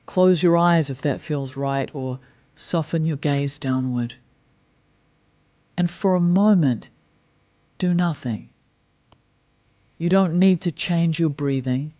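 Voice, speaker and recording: A man leading a guided meditation in a slow, soft, soothing manner, gently counting breaths. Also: a little high, no echo, muffled recording.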